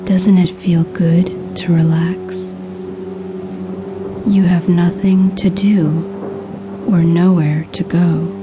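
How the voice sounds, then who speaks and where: A woman narrating a scripted guided sleep hypnosis in a soothing, hushed, breathy voice with measured pauses. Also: fairly low pitch, flat delivery, over music.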